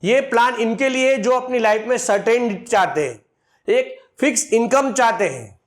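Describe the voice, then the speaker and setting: A man's voice: extremely high pitch, expressive, normal speed. A man in his forties, a financial advisor, explaining an insurance plan to viewers step by step and calling them friends. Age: 40s. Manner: addresses viewers as friends, works through figures step by step.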